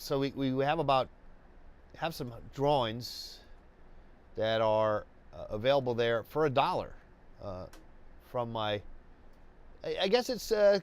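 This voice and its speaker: A man hosting a live painting webcast, congested and stuffy, talking in a slow, rambling way with many restarts and fillers. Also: mid-range voice, clear, resonant tone. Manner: halting, many restarts and fillers, laid-back. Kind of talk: rambling live-stream chat.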